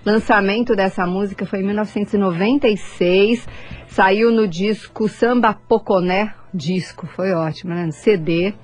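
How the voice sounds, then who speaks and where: A woman's voice: medium pitch, moderate pace, darkish tone. A woman radio host speaking casually and conversationally, often seeking agreement.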